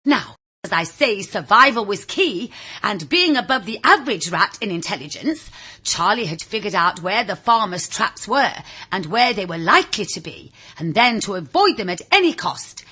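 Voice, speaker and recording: A woman reading a children's story in a theatrical, confiding way, with a husky, raspy voice, speaking directly to the listener. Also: medium pitch, sing-song, normal speed, pushed and bright. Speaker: audiobook reader. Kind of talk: animated scripted children's story narration.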